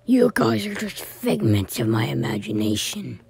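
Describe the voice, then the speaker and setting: A woman voicing a tormented, grieving villain in a cartoon, brooding and menacing in a hoarse, breathy whisper. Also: rumbling low, big pitch swings, normal speed, darkish tone, soft background music, pitched below the performer's own voice, full character voice.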